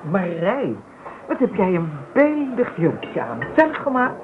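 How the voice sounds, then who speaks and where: A woman's voice: medium pitch, huge pitch swings, takes it slowly, noisy background. An actress playing a doting, fussy middle-aged mother in a radio drama, given to exclaiming and mild disapproval. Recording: tinny old radio recording, crowded background.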